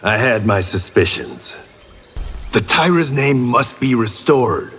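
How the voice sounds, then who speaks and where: An actor voicing a stern, wary veteran warrior with a gangster past in a fighting video game, husky and grave, as if giving counsel. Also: male voice, medium pitch, animated, takes it slowly, fairly bright tone, long reverb tail, exaggerated voice. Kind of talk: video game character acting.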